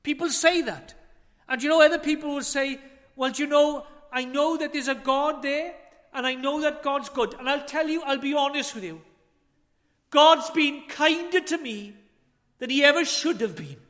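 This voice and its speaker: A man preaching a chapel sermon, earnest, with rhetorical questions and swells and drops in loudness. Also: extremely high pitch, moderate pace. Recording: long hall reverb.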